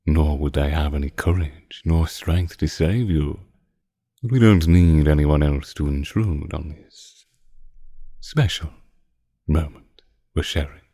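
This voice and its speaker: Very deep, slow vampire seducer played by a man. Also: dark tone, no room sound, studio quality, exaggerated voice. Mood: mocking tenderness. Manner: slow, teasing, condescendingly tender purr. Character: seductive, possessive male vampire toying with his captive. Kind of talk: intimate ASMR roleplay monologue.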